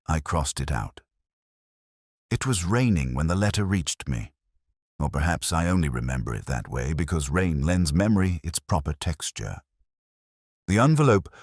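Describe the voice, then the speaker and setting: Really low male voice narrating a moody detective tale, reflective, pitch moves around a lot, dry close sound. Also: no echo. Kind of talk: scripted first-person literary mystery narration.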